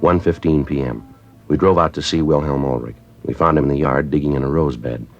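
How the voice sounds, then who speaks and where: An actor playing a terse, matter-of-fact police detective who narrates the case in clipped, businesslike sentences, in a 1950s radio drama. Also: male voice, a bass voice, a little fast, vocal fry, soft background music. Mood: flat. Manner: clipped, flat, businesslike delivery. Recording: muffled vintage radio broadcast.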